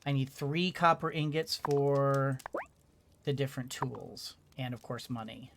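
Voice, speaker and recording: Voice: mid-range voice, level tone, unhurried, noisy background. A gamer giving casual let's play commentary, thinking aloud and often repeating words. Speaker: gaming video host.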